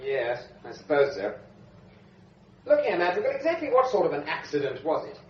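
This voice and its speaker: Breezy British male painter, flippant and slangy, higher-pitched, swooping delivery, old radio play. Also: huge pitch swings, moderate pace. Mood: brisk, questioning. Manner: jaunty, bantering, old-fashioned slangy speech. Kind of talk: British radio drama acting. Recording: muffled, noisy old radio drama recording.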